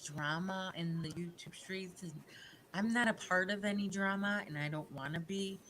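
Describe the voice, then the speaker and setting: Soft-spoken low-voiced woman. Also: normal speed, slight background noise. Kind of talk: casual chat.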